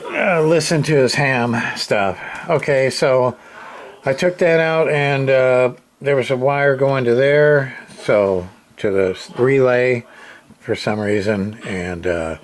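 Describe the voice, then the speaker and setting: A man giving a casual, hands-on repair narration, rambling and muttering to himself in a folksy, plain-spoken way. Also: mid-range voice. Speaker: CB radio repair hobbyist. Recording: noisy, with clicks and radio sound.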